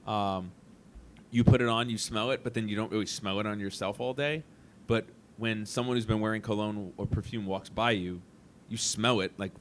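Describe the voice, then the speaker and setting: A man's voice: fairly low pitch, a bit bright, slight background noise. A man hosting a casual podcast, musing aloud with playful, jokey asides while chatting with students. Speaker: podcast host.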